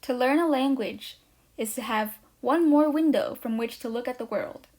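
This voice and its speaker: A woman hosting a language lesson channel, giving a scripted introduction in a quick, eager, slightly nervous way. Chinese is not her first language. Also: sits high, a little mellow. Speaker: language teacher, channel host.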